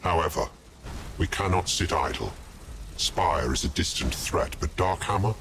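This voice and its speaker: A man playing a sinister, scheming zealot advisor to a villain in a game cutscene, with deliberate, portentous delivery. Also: deep voice, background noise. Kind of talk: dramatic video game cutscene acting. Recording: music under the voice.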